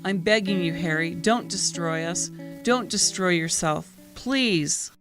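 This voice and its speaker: A woman playing an earnest, loving young friend in an audio drama, pleading in measured, heartfelt phrases. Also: on the low side, lightly acted. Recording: guitar music behind voice.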